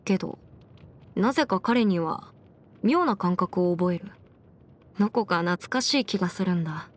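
A woman voicing a confident, brisk young half-immortal lawyer in an anime game, with crisp, formal phrasing and music behind her. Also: fairly low pitch, close to an everyday voice. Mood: composed, musing. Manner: crisp, self-assured, formal mannish phrasing. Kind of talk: scripted anime game character voice lines. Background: background music.

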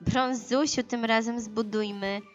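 A woman voicing a small, excitable but sensible squirrel friend in a children's story, exclaiming and coaxing. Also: on the high side, measured pace, lo-fi sound, soft background music, voice pushed up, natural voice. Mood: encouraging.

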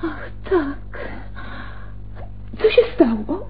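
A cool widow and mother in a radio crime play. Her voice is fairly high, and the old-radio sound is muffled and narrow. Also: very animated, very slow, a little hiss or hum, heavily acted. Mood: shaken. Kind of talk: radio crime drama acting. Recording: muffled, band-limited radio recording.

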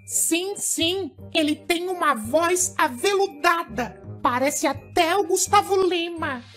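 An evil little girl doll from a cartoon, voiced by a woman, playing the fawning sidekick. The voice is very high and sweet, unhurried, over faint music. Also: animated, a bit bright, heavily acted. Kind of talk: children's cartoon voice acting in Portuguese.

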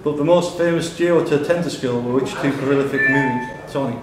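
A man's voice: medium pitch, a little fast, over loud music. A man reading out questions as a live pub quizmaster. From Stockton-on-Tees, England. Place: indoors in a pub. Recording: echoey busy pub room, background chatter.

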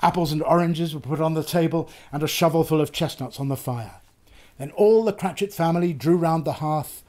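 An older man in his sixties or above giving an expressive, theatrical reading of a literary story. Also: fairly high pitch, brisk. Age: 60s or older. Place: small book-lined room, indoors.

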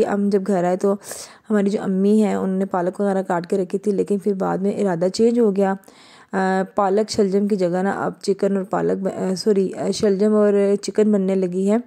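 This is a young woman, talking easily through her home vlog. Her voice is warm and mellow, and her delivery is loose and conversational. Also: mid-range voice, little pitch movement, moderate pace. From Pakistan. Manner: chatty, conversational, laughs while talking. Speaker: vlogger. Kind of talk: casual vlog narration.

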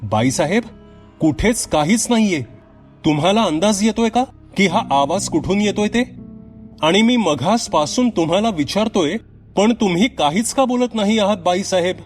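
A man's voice: sits high, lively intonation, moderate pace, soft background music, pitched above the performer's own voice. A man voicing a deferential, inquisitive bungalow watchman in a horror story, addressing an employer with respectful questions. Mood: puzzled, insistent. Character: deferential male bungalow watchman, curious and chatty. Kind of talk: dramatised horror story dialogue.

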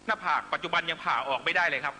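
A middle-aged man giving an impassioned political rally speech in emphatic, short measured phrases into a stage microphone. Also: a little high, pushed and bright. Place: large night-time outdoor rally stage. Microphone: stand microphone on stage. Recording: noisy live rally public-address broadcast with hum.

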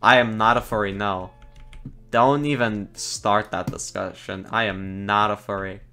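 Excitable young male game streamer. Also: on the low side, measured pace, faint music behind. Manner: excitable, laughs often, groans at fails. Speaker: gaming streamer. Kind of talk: casual live-stream commentary.

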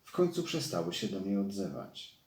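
Low-voiced male storyteller, echoey. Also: big pitch swings, normal speed, darkish tone. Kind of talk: scripted children's fairy-tale narration. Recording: roomy echo.

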